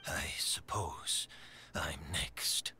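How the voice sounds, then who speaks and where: An actor playing a frightened, weary adult male merchant who speaks in a hushed, halting way. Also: whispered, soft background music, heavily acted. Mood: fearful.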